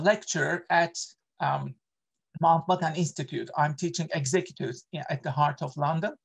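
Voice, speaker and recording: A man's voice: a little high, gravelly. A middle-aged man from Turkey with a Turkish accent, running an online workshop in an earnest way, with frequent fillers and self-corrections, heard over a video call. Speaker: workshop presenter, associate professor.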